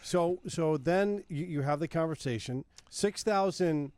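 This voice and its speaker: A man's voice: on the high side, unhurried, darkish tone, no room sound. A man hosting a casual radio interview, putting brief questions and prompts to a guest with some banter. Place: radio studio.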